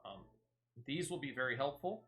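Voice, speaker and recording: A man's voice: mid-range voice, expressive, normal speed, bright, forceful tone. A man giving casual, chatty gameplay commentary, thinking aloud with a playful, mildly self-deprecating touch. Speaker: gaming streamer.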